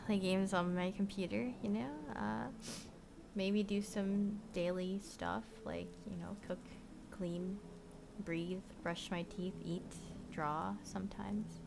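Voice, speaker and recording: A young woman born in Korea, chatting casually to stream viewers from her bedroom, rambling with lots of "like" and "you know" and trailing off softly. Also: medium pitch, steady tone, takes it slowly, gravelly, background noise. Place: bedroom at home.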